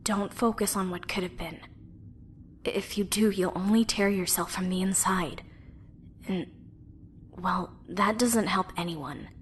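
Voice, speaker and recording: A woman playing a solemn, tender young soldier in a fan anime drama, speaking softly and breathily, often near a whisper. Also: medium pitch, lightly acted. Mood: gently firm. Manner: measured, soft-spoken. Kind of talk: fan dub anime parody acting. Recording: faint background noise.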